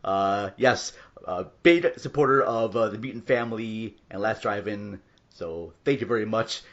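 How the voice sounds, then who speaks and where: A man's voice: on the low side, normal speed, fairly bright tone. A man hosting a podcast in a casual, somewhat hesitant and apologetic way, with informal introductions. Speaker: podcast host. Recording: dull lo-fi voice recording.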